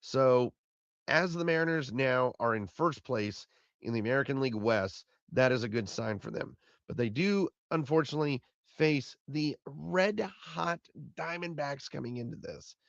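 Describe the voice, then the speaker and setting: A man with a raspy voice, hoarse from being sick, hosting a solo postgame recap podcast and rambling and repeating himself. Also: medium pitch, moderate pace, dry recording, highs cut off. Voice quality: raspy, fading voice. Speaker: podcast host.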